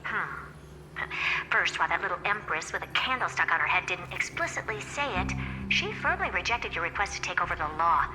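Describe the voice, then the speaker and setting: An actress playing a brisk, haughty, self-assured genius woman in a video game, smug and blunt in her delivery. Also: fairly low pitch, animated, long reverb tail, over music, natural voice, low-quality recording. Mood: matter-of-fact. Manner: smug, blunt, matter-of-fact.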